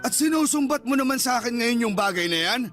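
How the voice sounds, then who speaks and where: A domineering, lazy middle-aged father in a radio drama. His voice sits very high for a man, and he argues in a scornful, scolding way. Music plays faintly underneath. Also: expressive, fast talker, a bit bright. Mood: angry, belittling. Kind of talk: Tagalog radio drama acting.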